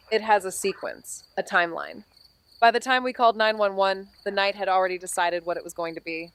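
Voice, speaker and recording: An actress playing a weary, wry amateur investigator in a noir audio drama, with measured, deadpan delivery. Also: female voice, medium pitch, lightly acted, rough recording. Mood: wry, bitter. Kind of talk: scripted noir audio drama, narration and dialogue.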